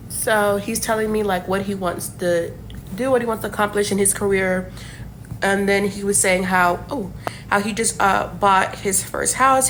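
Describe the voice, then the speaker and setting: A young woman in her twenties rambles through a dating story, piling up fillers and asides. She sounds casual and unscripted. A faint rumble sits under her voice. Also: fairly bright tone, poor audio. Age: 20s. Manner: chatty, many fillers and asides.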